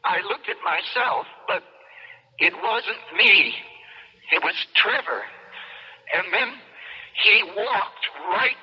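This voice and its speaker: An actor playing a bewildered young American college man in a radio drama, speaking haltingly and earnestly. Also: sits high, animated, breathy edge, full character voice. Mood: earnest, dazed. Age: young adult. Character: bewildered college son trapped in another dimension. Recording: muffled vintage radio drama recording.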